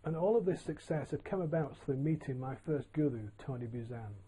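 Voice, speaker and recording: An older man from Yorkshire telling his own career story in a relaxed, plain-spoken way, with frequent ums and uhs. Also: on the high side, brisk, breathy edge, low-quality recording. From Yorkshire, England. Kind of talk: casual autobiographical monologue.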